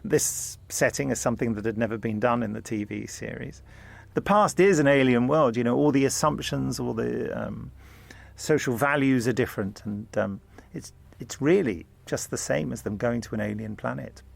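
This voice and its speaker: A man gives a casual, explanatory interview. His mid-pitched voice has a pronounced gravelly creak, and he drops in conversational asides as he goes. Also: moderate pace. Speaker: audio drama maker.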